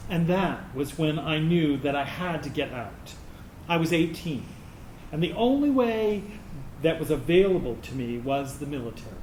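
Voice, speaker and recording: Anguished transgender veteran, played by a man, higher male voice, slow measured monologue, roomy recording. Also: darkish tone. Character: anguished transgender veteran recounting years of hiding. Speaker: actor in a staged reading. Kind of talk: dramatic monologue reading. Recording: roomy, noisy live stage recording.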